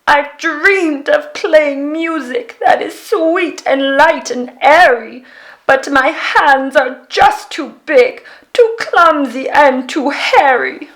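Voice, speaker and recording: Lady doing a big clumsy yeti guy from a kids book, loud n dramatic, slow n drawn out. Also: high voice, voice pushed up, exaggerated voice, rough recording. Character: big, clumsy, despairing yet grateful male Yeti. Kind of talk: children's picture book read aloud.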